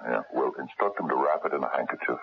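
An actor playing a gruff, methodical private investigator in a radio mystery, gravelly and husky, probing in a businesslike, halting way. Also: male voice, extremely low pitch, exaggerated voice. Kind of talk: 1970s radio mystery acting. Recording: dull lo-fi radio recording.

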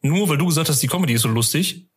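A man's voice: fairly high pitch, expressive, very fast, husky. A man hosting a podcast in an informal, colloquial chat with a jokey manner. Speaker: podcast host. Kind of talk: casual chat.

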